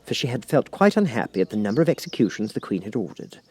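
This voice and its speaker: A man's voice: medium pitch, sing-song, fast talker, a little mellow, slightly rough. A man reading a storybook aloud as an audiobook narrator. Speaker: audiobook reader.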